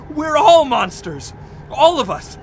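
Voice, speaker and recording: Radio drama: distraught expedition doctor, slow and halting, cracking up with fear, heavy rumble behind. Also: male voice, big pitch swings, full character voice. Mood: hysterical. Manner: halting, repeating words, laughing hysterically.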